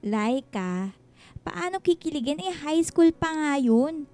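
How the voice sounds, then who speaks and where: A Filipino actress playing a reluctant, self-doubting single mother in her thirties in a radio drama, softly protesting and putting herself down. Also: sits high, expressive, slow. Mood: flustered, chiding. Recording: music bed under the dialogue.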